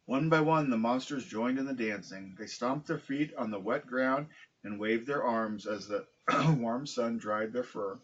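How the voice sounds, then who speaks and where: Male storybook reader, husky mid-pitched voice, slightly dull home recording. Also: a little slow. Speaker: storybook reader, dog owner. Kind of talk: reading a children's picture book aloud.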